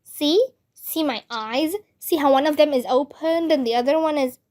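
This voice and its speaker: A woman's voice: high-pitched, normal speed, a little mellow, lightly acted. A woman voicing a feisty, chatty, literal-minded little girl who over-explains in a storybook. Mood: indignant. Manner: indignant, over-explaining, childish.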